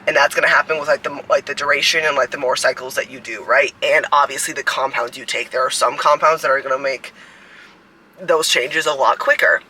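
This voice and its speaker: A woman in her mid-twenties with a deep, raspy voice, rambling casually to a phone camera outdoors, full of "like" fillers and swearing. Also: steady tone, a little fast, pushed and bright, a little hiss or hum, poor audio. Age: 20s. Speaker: fitness coach and creator. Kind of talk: casual vlog talk to camera.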